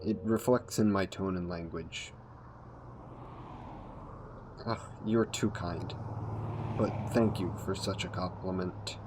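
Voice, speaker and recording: A man's voice: sits low, background noise. A man playing a courtly, seductive vampire in an intimate roleplay audio, speaking formally and coaxingly to the listener. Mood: courtly, charming. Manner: formal, gentlemanly, coaxing, unhurried. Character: courtly, seductive male vampire, hungry and predatory.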